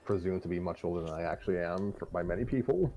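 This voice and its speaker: A mid-twenties man with a lowish voice that sounds older than he is speaks in a dry, measured way. Faint background noise is heard under his voice chat. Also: dark tone. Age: 20s. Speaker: co-commentator. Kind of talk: casual chat on a voice call.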